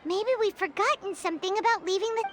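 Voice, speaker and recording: A woman voicing a tiny, excitable childlike fairy companion in a video game, with a squeaky, chirpy delivery. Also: fast, background noise. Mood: puzzled, animated. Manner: chirpy, speaks of self in third person. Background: game music.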